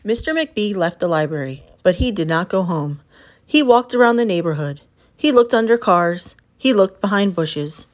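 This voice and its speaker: A woman reading a picture book aloud as an expressive storyteller for children. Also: mid-range voice, moderate pace. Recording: slightly dull, lo-fi sound.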